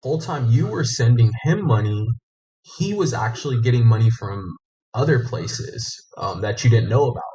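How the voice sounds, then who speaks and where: A man's voice: mid-range voice, lively intonation, normal speed, mellow, rough recording. A man hosting an investigative show, narrating and explaining findings to a guest. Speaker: scam investigator and show host.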